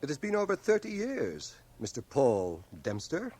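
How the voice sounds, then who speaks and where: A middle-aged schoolmaster, proud, stubborn and courtly. He speaks in precise, formal phrases with lively swings of pitch, in the manner of radio drama. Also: moderate pace. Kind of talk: 1980 radio drama acting. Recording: home cassette transfer of radio drama.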